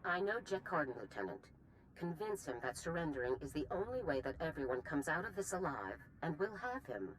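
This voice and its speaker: Stern, commanding military general. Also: female voice, sits low, big pitch swings, slight background noise, rough recording. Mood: firm, urging. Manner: commanding, measured, persuasive. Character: stern, authoritative female general briefing a soldier. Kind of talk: video game cutscene acting.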